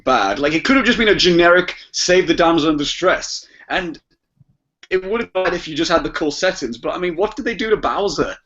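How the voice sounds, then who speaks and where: A man's voice: a little high, lively intonation, a little fast, fairly bright tone. A man chatting casually as a podcast guest, hesitant and repeating his words as he goes. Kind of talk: casual chat. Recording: muffled, lo-fi podcast audio.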